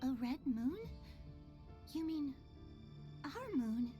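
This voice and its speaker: A small, curious child in a game story. The voice is extremely high and innocent, tentative and full of wonder. Music plays clearly behind it. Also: female voice, lively intonation, extremely slow, full character voice. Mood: curious, hesitant. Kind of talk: scripted game dialogue.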